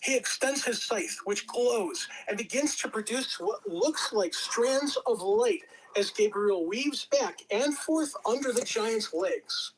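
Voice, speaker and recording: A man's voice: extremely high pitch, animated, slow, few pauses, slight background noise, poor audio. Man narrating an action script. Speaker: narrator reading stage directions. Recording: amateur home recording.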